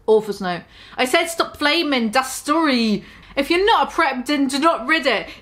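High-pitched young woman reading theatrically. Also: a bit bright. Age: 20s. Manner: theatrical, exaggerated, mock-serious delivery with amused asides. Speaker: online video host. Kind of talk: dramatic comic reading of fan fiction. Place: small room at home.

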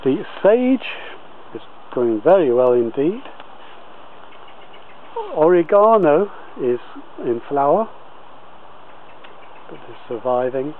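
A man with a medium-pitched voice talks slowly through an informal garden tour, often halting mid-sentence as he goes. He is recorded outdoors on a handheld camera, with dull, lo-fi sound and faint background noise. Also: very animated. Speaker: allotment gardener filming a tour. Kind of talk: informal video tour commentary. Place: outdoors on an allotment. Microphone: handheld camera held by the speaker.

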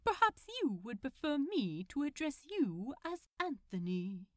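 Storybook male supervillain read by a woman: pompous, courteous, slow-paced, highly expressive, articulate. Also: no echo, clean studio sound. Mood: smug, fussy. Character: prim, nefarious male supervillain, politely smug and fussy. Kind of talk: fan fiction audiobook character voice.

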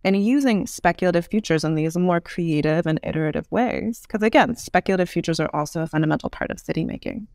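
A woman speaks as an interview guest in an articulate, conversational way. Her voice has a noticeable creak, and it is captured dry and close on a podcast microphone. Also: lowish voice, fast, few pauses, darkish tone, dry recording. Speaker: guest, urban design expert. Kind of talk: explanatory podcast interview answers.

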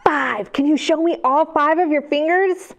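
A woman's voice: high voice, normal speed. A woman librarian leading a children's storytime, reading picture books aloud and talking encouragingly to the kids at home through her camera. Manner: bright, encouraging, talks to kids. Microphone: camera mic.

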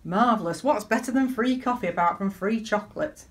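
A middle-aged woman speaks at a medium pitch, as a deacon leading worship from home. Her delivery is measured and conversational, moving between everyday anecdote and reflective preaching, with rhetorical questions to the listener. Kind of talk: conversational sermon with personal anecdotes. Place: small living room at home, indoors.

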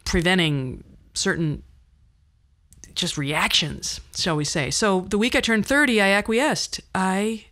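A woman's voice: mid-range voice, slow, fairly bright tone. A woman in her fifties hosting a podcast, telling personal stories in a conversational, wry, self-deprecating way. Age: 50s. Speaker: podcast host. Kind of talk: personal storytelling monologue.

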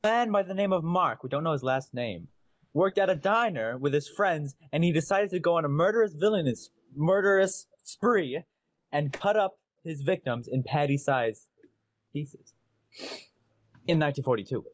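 A young man with a higher-pitched voice co-hosts a light game segment. He is playful and announcer-like, laying on mock drama as he presents. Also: lively intonation, normal speed, dry recording. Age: young adult. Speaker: podcast co-host. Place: indoor room at home, video call. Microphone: video call.

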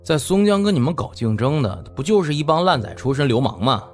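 A man's voice: fairly high pitch, sing-song, fast talker, faint music behind, pitched above the performer's own voice. A man voicing an arrogant young rich heir. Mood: irritated contempt. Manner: haughty, dismissive, coarse.

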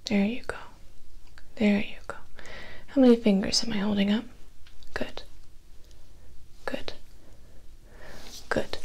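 A young woman in her twenties playing a tired, stressed vampire's personal assistant in soft, breathy, close-up ASMR roleplay, murmuring with dry resignation. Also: fairly high pitch, heavily acted. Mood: soft, instructing. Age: 20s. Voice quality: breathy, near-whispered soft speech. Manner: slow, hesitant, weary murmuring. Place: small indoor studio with dark backdrop.

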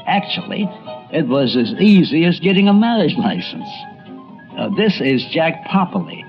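A male documentary narrator tells history with a very lively, varied pitch and chatty asides. The recording is dull and lo-fi, with faint music around him. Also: moderate pace. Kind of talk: scripted storytelling narration with conversational asides.

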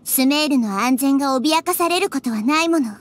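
A woman voicing a gentle, wise little girl deity in an anime-style game, calm, composed and earnest. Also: high voice, moderate pace, a little hiss or hum.

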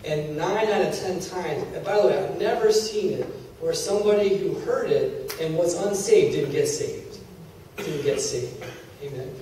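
Middle-aged man, preacher, mellow slightly high voice, conversational, big echoey hall, far-off mic. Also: measured pace, slight background noise. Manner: conversational preaching, checks in with "Are you with me?" and "okay?". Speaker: church teacher. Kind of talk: sermon teaching. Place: large church hall, indoors. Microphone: handheld mic, filmed from a far camera.

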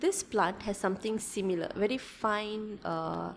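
A young woman, chatting as a podcast guest, fast and animated, mixing Tamil and with lots of fillers. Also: medium pitch, creaky, slight background noise. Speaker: tour guide guest. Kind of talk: casual podcast conversation. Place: small indoor room at a table. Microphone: desk podcast mic.

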